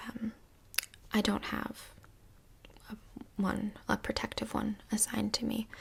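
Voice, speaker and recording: A woman playing a calm, chatty couples counsellor in a soft-spoken ASMR role play, with a breathy, whispery voice and gentle, measured, reflective delivery. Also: fairly high pitch, full character voice. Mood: amused, reminiscing. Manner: gentle, measured therapist talk with reflective pauses.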